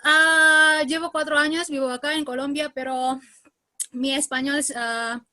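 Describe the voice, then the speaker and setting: A woman from Indonesia with an Indonesian accent, giving a seminar presentation in beginner Spanish, often pausing to search for words, over a video call. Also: high-pitched, flat delivery, sharp tone, dry recording.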